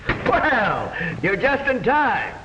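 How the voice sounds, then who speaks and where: An actor playing a wisecracking young amateur detective in a 1940s radio drama, on a dull lo-fi old recording. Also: male voice, extremely high pitch, sing-song, background noise, exaggerated voice. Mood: wry. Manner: wisecracking, brisk.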